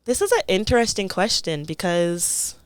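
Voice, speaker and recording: A woman's voice: medium pitch, big pitch swings, normal speed, clean tone, no room sound, studio quality. A young woman, speaking as an interview guest. She talks in a thoughtful, casual way and often says "like.". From Toronto, Canada. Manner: thoughtful, frequent "like" fillers.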